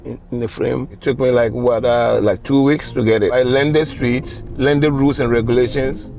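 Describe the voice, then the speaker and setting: A man's voice: medium pitch, moderate pace, gravelly. A middle-aged man from Ghana, a taxi driver, telling stories casually in his cab, loose and slangy. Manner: relaxed storytelling with slang. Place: inside a taxi.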